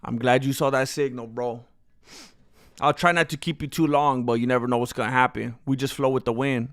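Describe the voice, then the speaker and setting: A man's voice: medium pitch, fairly even intonation, fairly quick, fairly bright tone, dry recording. A man chatting casually on a live stream, joking and drifting into song, who says he is losing his voice. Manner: jokey, breaks into off-key singing and ad-jingle bits.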